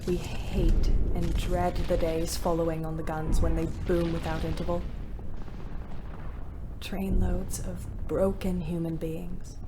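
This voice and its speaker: A woman's voice: fairly low pitch, little pitch movement, takes it slowly, over music. An actress playing a young ambulance driver in an audio play, narrating her war diary with weary bitterness. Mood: grim, measured. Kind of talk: dramatic first-person audio play narration. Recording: clear background noise.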